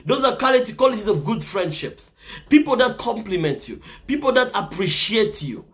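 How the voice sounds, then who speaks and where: Man, Congolese accent, high voice, animated delivery, earnest, keeps asking "right?". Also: normal speed. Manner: earnest, often ends points with "right?". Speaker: vlogger giving life advice. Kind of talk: casual advice monologue.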